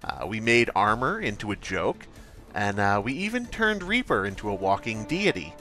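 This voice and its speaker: A man's voice: fairly low pitch, very animated, moderate pace, pushed and bright, soft background music. A man playing an earnest, creepily awkward game developer who hesitates sheepishly through a parody update presentation.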